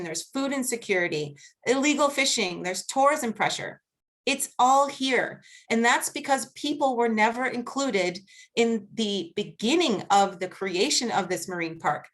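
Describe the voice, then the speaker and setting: A woman with a Boston accent speaking as a guest researcher on a video-call panel, earnest and measured, laughing easily during questions. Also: fairly high pitch, fairly bright tone. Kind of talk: academic panel talk and Q&A.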